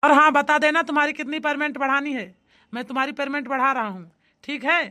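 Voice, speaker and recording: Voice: sits high, steady tone, a little fast, sharp tone. A performer voicing an anxious company boss in a cartoon comedy, speaking in an emphatic, pleading manner. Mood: brisk.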